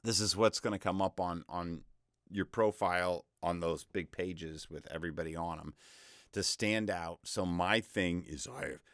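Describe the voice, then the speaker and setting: A young man with a deep voice giving a casual, rambling screen-share tutorial on freelance selling, often interrupting himself with upbeat advice. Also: steady tone, moderate pace, a bit bright, dry recording, studio quality. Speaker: voice-over freelancer teaching online selling.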